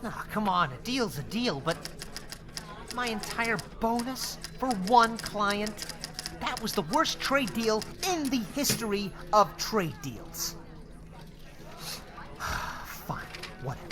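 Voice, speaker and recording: Woman acting a slow, grumbling office worker. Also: mid-range voice, sing-song, music in the background. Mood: exasperated. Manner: exasperated, mock-dramatic. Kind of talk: scripted audio roleplay acting.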